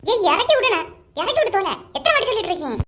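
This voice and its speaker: A woman's voice: very high-pitched, sing-song, racing, a bit bright, highs cut off, full character voice. A woman voicing touchy, bickering village women in a comedy cartoon, scolding and grumbling in Kanyakumari Tamil slang.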